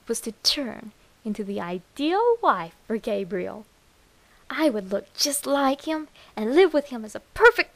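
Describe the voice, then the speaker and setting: A woman acts out a deranged young woman in love with something morbid, narrating her horror story. Her voice sits fairly high and breathy. She draws her words out very slowly, with a giddy, demented edge and theatrical emphasis. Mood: giddy, obsessive. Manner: demented, breathy, dramatic, slow with whispered words.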